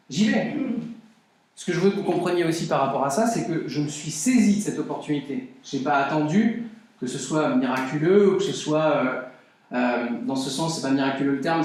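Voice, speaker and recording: A man's voice: fairly high pitch, darkish tone. A man giving a motivational conference talk to a live audience, earnest and emphatic, repeating key phrases. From France and Brazil. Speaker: conference speaker. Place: conference hall. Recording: very echoey hall.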